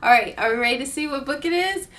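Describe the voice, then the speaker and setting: Animated, high-voiced woman reading to children. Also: fast. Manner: animated, exclamatory, playful with sound effects. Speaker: story time host reading picture books. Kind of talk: children's read-aloud storytelling.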